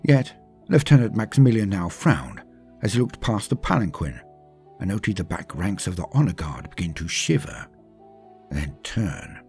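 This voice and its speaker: A man's voice: deep voice, very animated, normal speed, darkish tone. A man reading a scripted story as a dramatic narrator, with theatrical, emphatic phrasing that drops away at line ends. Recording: music under the voice.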